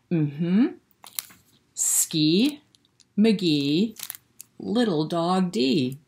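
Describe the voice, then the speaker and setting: Low-voiced woman leading children's story time. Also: darkish tone. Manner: encouraging, addresses children directly with questions. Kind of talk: interactive read-aloud for young children, with singing.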